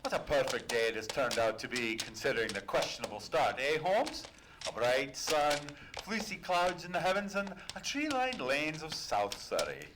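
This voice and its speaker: A man playing a genial, loyal gentleman companion who also narrates, polite and literary, in a live radio play. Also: fairly high pitch, big pitch swings, moderate pace, background noise.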